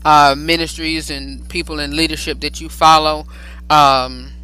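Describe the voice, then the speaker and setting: A man's voice: fairly high pitch, level tone, unhurried, pushed and bright, clear, resonant tone, poor audio. A man hosting a talk show, interviewing in a rambling way, with many fillers and frequent affirmations. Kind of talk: interview conversation.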